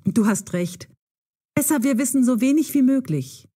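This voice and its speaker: High, lively, breathy young sleuth. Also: female voice, normal speed. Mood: curious, cautious. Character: bold, self-assured young female sleuth. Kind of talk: audio drama acting.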